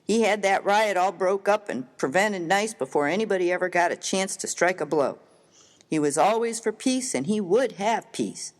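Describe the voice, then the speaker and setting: A man playing a rough, hearty, good-natured American miner of the Old West in a dramatised story reading, with slangy, folksy, emphatic speech. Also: high-pitched, lively intonation, normal speed. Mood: admiring, boastful.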